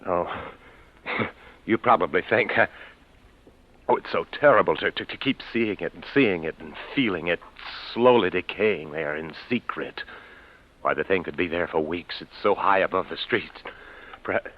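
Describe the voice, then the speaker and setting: Low-voiced nervous stammering neighbor. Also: male voice, moderate pace. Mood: uneasy. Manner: hesitant, stammering, anxious. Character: nervous, obsessive, pleading neighbor man. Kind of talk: golden-age radio drama acting. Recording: muffled old radio recording.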